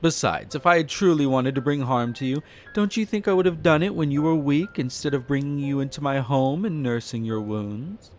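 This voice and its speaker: A man's voice: medium pitch, moderate pace, few pauses, a little mellow, a little hiss or hum, lightly acted. A man playing a suave, flirtatious aristocratic vampire who talks to the listener with amused, haughty condescension in a roleplay audio drama. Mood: flirtatious, reassuring. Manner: theatrical, leisurely storytelling with teasing, haughty, formal wording.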